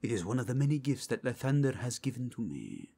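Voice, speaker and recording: A man's voice: mid-range voice, big pitch swings, warm tone. A man voicing a zealous, courtly werewolf inquisitor in an ASMR roleplay, with a mixed foreign accent touched by Spanish, speaking formally and in a half-whisper. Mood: solemn, intense. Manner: formal, courteous, deliberate, intense.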